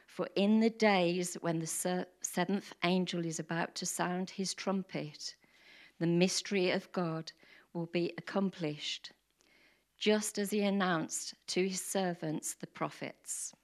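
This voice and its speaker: Slow, croaky-voiced woman reading. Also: lowish voice. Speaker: congregation member reading scripture. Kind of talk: reading scripture aloud.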